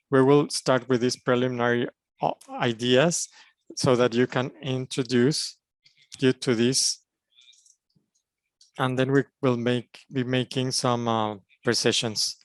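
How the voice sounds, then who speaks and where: A man interprets a conference panel, moving through formal phrases in short, steady chunks. His voice sits at an ordinary male pitch. The sound is dry and close. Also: no room sound.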